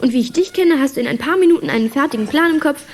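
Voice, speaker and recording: An actress playing a plucky, polite girl detective in a children's radio play, speaking briskly and earnestly. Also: sits high, expressive, slight background noise. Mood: brisk, teasing. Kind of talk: 1980s German children's radio play acting.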